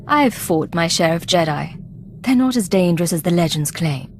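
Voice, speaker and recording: A woman's voice: lowish voice, lively intonation, moderate pace, a little hiss or hum. An actress playing a cool, blunt female Imperial agent in a video game, curt and commanding. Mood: cool, confident.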